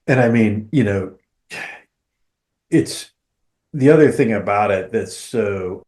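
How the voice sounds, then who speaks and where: A man talking casually as a podcast host, giving his opinions in an emphatic, deliberate way. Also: on the low side, a little mellow.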